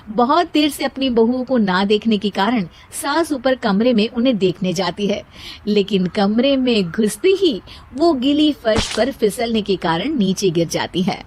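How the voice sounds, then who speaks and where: Female narrator, high-pitched, for scripted children's moral tales. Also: a little mellow, poor audio. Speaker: story narrator.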